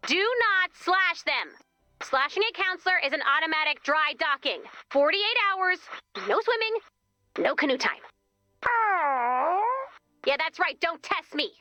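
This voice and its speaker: Young female camp boss, sky-high pitch, animated, perky, bossy, emphatic, game dialogue. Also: measured pace, pauses often, dry recording, faint music behind, exaggerated voice. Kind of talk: video game character acting.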